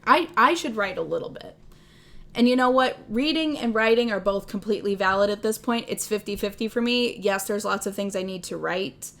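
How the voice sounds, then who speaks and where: A young woman with a Midwestern accent, chatting casually on a livestream, rambling and self-deprecating, laughing often. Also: medium pitch. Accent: Midwestern American. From American Midwest. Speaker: streamer.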